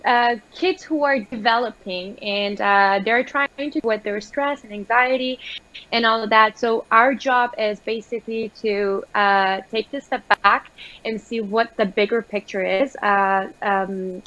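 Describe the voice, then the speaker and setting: A woman from Iran calling into a live show from her home, speaking in a measured, articulate way and pausing often on "uh" and "um.". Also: on the high side, highs cut off. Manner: articulate, steady, measured with many uh and um. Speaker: guest caller, recreational therapist. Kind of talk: conversational call-in interview. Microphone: remote call-in line.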